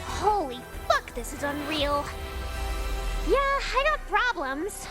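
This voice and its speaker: A woman's voice: very high-pitched, very animated, slow pace, heavily acted. A woman voicing a hot-tempered, swearing young alien princess in a game cutscene, brash and sarcastic, with music behind her. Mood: defiant, bitter. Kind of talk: animated game cutscene acting.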